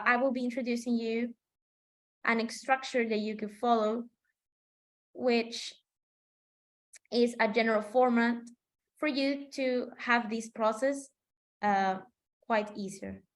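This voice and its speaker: A sixteen-year-old girl from Peru presenting slides in an online meeting in a measured, explanatory way. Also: on the high side, steady tone. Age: teenager, sixteen. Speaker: student presenter. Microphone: video call.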